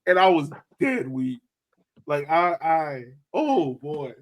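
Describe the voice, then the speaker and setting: A man's voice: fairly high pitch, very animated, takes it slowly. A man co-hosting a casual sports podcast, talking in a slangy, storytelling way. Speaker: podcast co-host.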